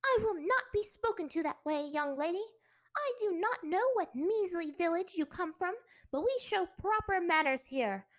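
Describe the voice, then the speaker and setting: A prim, strict schoolteacher with greying hair, her voice pitched up high and loud as she scolds the class, put on by a woman reading a story. She snaps her words out sharply and sternly. Her tone rises and falls with indignation. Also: very animated, moderate pace. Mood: indignant.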